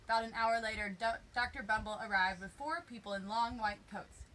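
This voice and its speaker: A woman reading a children's picture book aloud, expressive and emphatic, drawing out words for effect. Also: fairly high pitch, a little fast, a little hiss or hum, rough recording.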